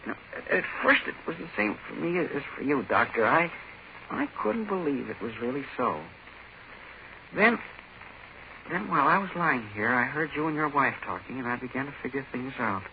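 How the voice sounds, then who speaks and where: An actor playing a menacing, power-drunk ordinary little man in 1940s radio horror, speaking haltingly and insistently. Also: mid-range voice, animated, creaky. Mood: halting, self-justifying. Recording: muffled, noisy old 1940s radio recording.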